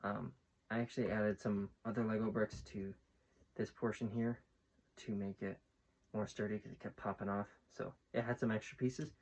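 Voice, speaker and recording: A man talking casually and informally to viewers in a soft, mellow voice, in the manner of a homemade ASMR video. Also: on the low side, little pitch movement, normal speed, dull audio. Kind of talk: casual ASMR chat while building bricks. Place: small room at home, on the floor.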